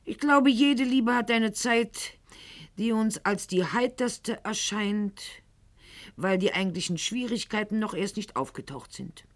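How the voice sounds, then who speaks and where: A woman's voice: mid-range voice, animated, a little slow, close to an everyday voice. An actress playing a proud, witty, wounded aristocratic lady in a theatrical radio drama monologue, articulate and ironic. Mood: composed, confiding. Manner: articulate, haughty, ironic, literary phrasing.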